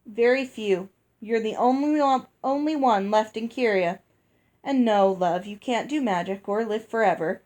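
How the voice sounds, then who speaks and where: A woman reading aloud and voicing a gruff older fairy woman who talks bluntly and scolds. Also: fairly high pitch, measured pace, a little mellow, close to an everyday voice. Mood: brusque. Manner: brusque, scolding. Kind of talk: read-aloud storybook dialogue.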